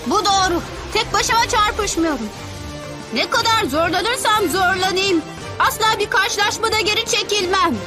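A very high-pitched, earnest boy fighter. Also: moderate pace. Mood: determined. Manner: earnest, impassioned declarations. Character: earnest, stubborn, emotional young boy Beyblade fighter. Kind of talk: dubbed anime cartoon acting. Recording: music behind the voice.

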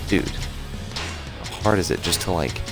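Male gaming commentator, very low pitch, casual and repetitive, loud game music behind. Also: expressive, takes it slowly. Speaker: gaming video creator giving commentary. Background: video game music and battle audio.

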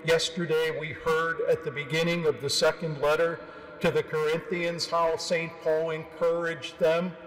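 Slow-paced older priest in an echoing church. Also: male voice, a little high. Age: 60s. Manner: measured, solemn, with deliberate pauses. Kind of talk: sermon. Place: large stone cathedral, at the pulpit. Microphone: gooseneck pulpit microphone. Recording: long echoing reverb of a large church.

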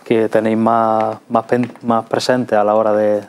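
A man's voice: lowish voice, flat delivery, unhurried, darkish tone, slight background noise. A man in his thirties with an accent from Spain, hosting a studio interview in a conversational way, on a lapel mic. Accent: Spanish from Spain. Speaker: TV culture show host. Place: small TV studio.

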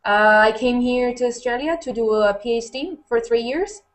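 A woman's voice: a little high, normal speed. Friendly young woman on a webcam call. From Spain. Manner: friendly, plain-spoken. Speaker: panel guest, physics student. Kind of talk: casual video call chat. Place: room at home. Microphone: webcam video call.

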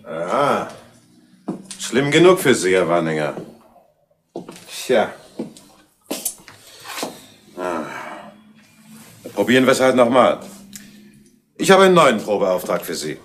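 A smug, scheming detective boss, with a low voice that rises and falls a lot. He gives orders in a commanding way. There is faint hiss with clicks under this old radio play. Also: big pitch swings, takes it slowly, pauses often. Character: scheming male detective agency boss Alfons. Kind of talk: 1970s radio crime drama.

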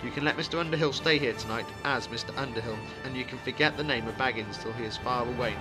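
A man's voice: medium pitch, fast talker, close to an everyday voice. A man voicing a lean, guarded, sardonic ranger in a fantasy audiobook, speaking in a grave, terse, biting way. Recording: music behind the voice.

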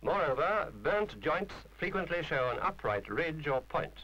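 A man's voice: on the high side, sing-song, measured pace, bright, forceful tone, low-quality recording. A man reading a scripted instructional film narration in a formal, precise, didactic manner.

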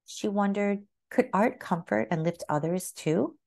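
A woman reading a children's picture book aloud as narrator, with expressive, carefully phrased storytelling. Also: mid-range voice, measured pace.

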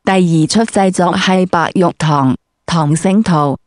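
A woman's voice: on the low side, measured pace, a little mellow, no room sound, studio quality. A woman with a Cantonese accent putting brash, slangy questions as an interviewer. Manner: brash, crude street slang.

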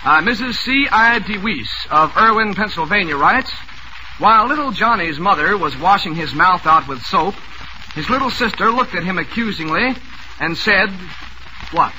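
A man with a high voice runs a 1940s radio quiz show in brisk, genial patter, joking with the band. The old broadcast recording is heavy with hiss. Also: expressive, pushed and bright, low-quality recording.

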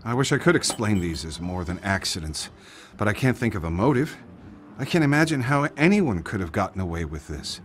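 Troubled rich householder,: measured, sombre, lowish pitch, dramatic game scene. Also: male voice, big pitch swings, slight background noise. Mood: regretful. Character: wealthy, troubled male head of a household, restrained.

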